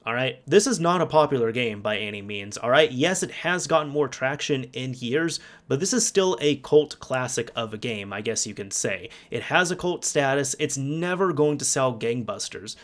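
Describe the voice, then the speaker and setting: A man doing casual, conversational commentary about video games, speaking emphatically like an exasperated fan. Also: medium pitch. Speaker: YouTube game commentator.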